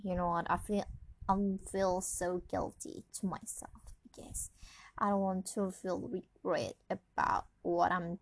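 A woman's voice: medium pitch, low-quality recording. A young woman from Indonesia speaking English with an Indonesian accent, rambling sleepily through a personal podcast with many fillers. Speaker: podcast host.

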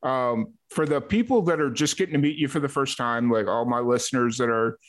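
A man's voice: medium pitch, brisk. A man hosting a music podcast, chatting casually with his guest. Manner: relaxed, chatty, with filler words and restarts. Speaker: podcast host. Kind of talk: casual interview chat.

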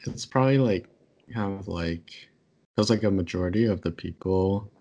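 A man's voice: low-pitched, normal speed, mellow, dull audio. A young man, a podcast co-host whose first language is Taishanese, chatting casually with lots of fillers and self-corrections.

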